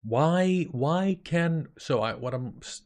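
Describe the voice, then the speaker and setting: A man's voice: a little high, expressive, takes it slowly, a little mellow. Icelandic-accented man chatting on stream. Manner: rambling, many restarts, answers chat. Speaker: streamer and dungeon master. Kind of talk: casual livestream chat with viewers.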